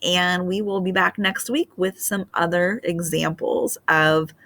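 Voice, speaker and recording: Teacher, middle-aged woman; animated storybook reader, bright tone, dry close mic. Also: lowish voice, measured pace, dry recording. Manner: expressive and animated. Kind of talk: storybook read-aloud and lesson. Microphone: webcam on a screen share.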